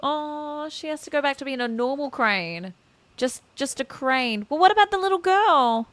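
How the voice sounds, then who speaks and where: A woman's voice: high-pitched, lively intonation, moderate pace, a bit bright, dry recording. A woman game streamer reacting casually during a playthrough, emotive and playful, recorded on a close microphone.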